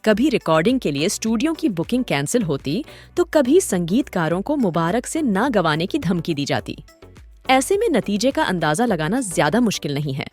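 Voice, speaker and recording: A woman's voice: medium pitch, very animated, moderate pace, a little mellow. A woman hosting and narrating a scripted documentary story in a dramatic, literary storytelling manner. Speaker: host and narrator. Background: background music.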